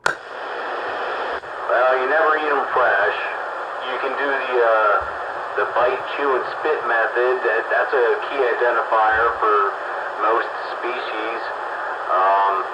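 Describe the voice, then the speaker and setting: A man's voice: medium pitch, takes it slowly, long pauses. A man chatting casually on a hobby radio net, telling things in an easygoing, drawling way. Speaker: radio hobbyist on an on-air group chat. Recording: hissy radio transmission with echo.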